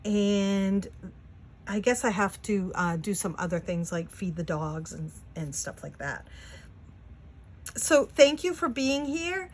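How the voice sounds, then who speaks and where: A woman's voice: mid-range voice, animated, takes it slowly. A woman in her sixties with a New England accent, chatting casually to camera at home with many fillers. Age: 60s. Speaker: vlogger and Etsy seller. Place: home, at a wooden table.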